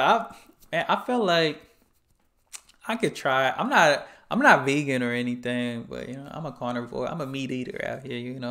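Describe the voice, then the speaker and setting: A man's voice: medium pitch, vocal fry, fairly bright tone. Laid-back American man reacting. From United States. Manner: laid-back, slangy, chuckling asides. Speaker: reaction channel host. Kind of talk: casual reaction commentary. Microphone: headset.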